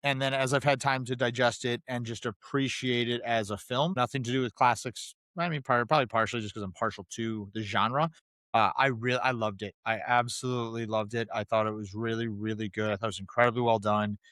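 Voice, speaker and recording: A man speaks as co-host of a casual film podcast, at an average male pitch. He is conversational and emphatic, circling back to restate his points. The recording is dry and free of room echo. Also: steady tone, a little fast, a bit bright.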